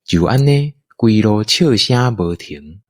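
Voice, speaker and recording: A man's voice: fairly low pitch, animated, slow pace. A man with a Taiwanese accent narrating a scripted adventure story.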